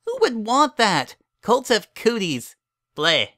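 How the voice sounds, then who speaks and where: A little storybook filly, acted out by a man, pitched well up. The young pony is bratty and pedantic, picking everything apart with dismissive, sleepy arguments. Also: huge pitch swings, takes it slowly, bright, forceful tone, no room sound, studio quality, full character voice. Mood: disgusted.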